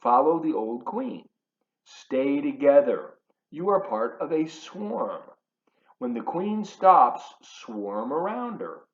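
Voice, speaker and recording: A man reading a children's book aloud in an expressive, emphatic, teacherly way, adding chatty asides for his young listeners. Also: medium pitch. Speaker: volunteer children's storybook reader. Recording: roomy echo, slightly dull sound.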